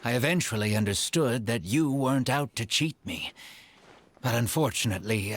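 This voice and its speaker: An actor in a video game playing an earnest, proud man of the desert, speaking in formal, measured phrases. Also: medium pitch, a bit bright, husky, no echo. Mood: apologetic, earnest. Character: earnest, proud desert-dwelling man, elderly or ailing, apologetic.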